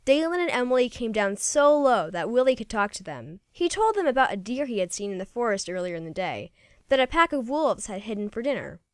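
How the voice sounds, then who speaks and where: Animated woman reading a children's story. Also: fairly high pitch, a little fast, no echo, studio quality. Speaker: storybook reader.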